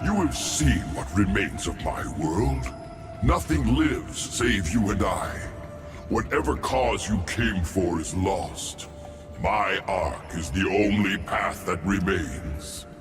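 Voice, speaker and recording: A coldly patient, ancient being of great authority in a game cutscene. His voice is fairly low and very slow, formal and ominous, with music playing underneath. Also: animated. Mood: imperious, ominous. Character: ancient otherworldly male ruler, imperious, coldly patient, condescending. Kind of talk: video game cutscene acting.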